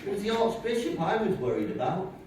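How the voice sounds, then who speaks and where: A man's voice: sits high, sing-song, quick pace, a little mellow. A man reading the part of a deluded, cheerful psychiatric patient who thinks he speaks for God in a stage play, earnest, chatty and pompous, in a very echoey, distant, noisy recording. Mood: earnest, aggrieved. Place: large echoey room, mic at a distance.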